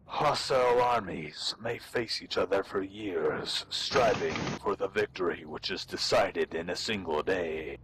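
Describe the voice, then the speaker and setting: A man's voice: lowish voice, fairly bright tone. A man giving a theatrical, portentous dramatic reading of an ancient military treatise, with playful asides. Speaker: amateur reader performing for a live audience of gamers. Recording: clearly noisy background.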